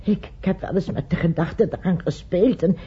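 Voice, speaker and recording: An actress playing a desperate, remorseful wife in a radio play, imploring in a halting way. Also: female voice, on the low side, warm tone, breathy edge. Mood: desperate pleading. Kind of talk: Dutch radio play acting. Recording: dull old radio recording.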